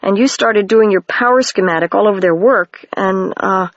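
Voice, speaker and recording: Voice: medium pitch, normal speed, dry recording, natural voice. Curious young wizard girl, as a reader voices her. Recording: dry, dull lo-fi home recording.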